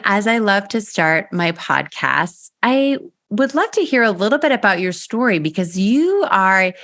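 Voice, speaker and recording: A woman's voice: mid-range voice, fairly bright tone. A woman hosting a podcast interview, asking encouraging, attentive questions of her guest. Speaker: podcast host. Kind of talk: interview host.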